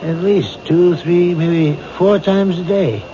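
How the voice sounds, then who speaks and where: An actor playing a grieving, forgetful widower in a 1970s radio drama, hesitant and trailing off. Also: male voice, high-pitched, fairly even intonation, slow. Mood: evasive, vague. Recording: dull old radio broadcast with hiss.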